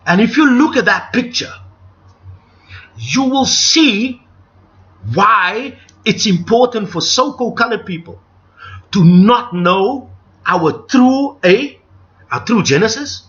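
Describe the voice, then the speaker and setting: A man's voice: high voice, animated, slow, long pauses, fairly bright tone. A middle-aged South African man with a South African accent, hosting a live stream with rambling, opinionated commentary full of restarts and asides. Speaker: livestream host. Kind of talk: unscripted live commentary to viewers. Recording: dull lo-fi stream with faint music.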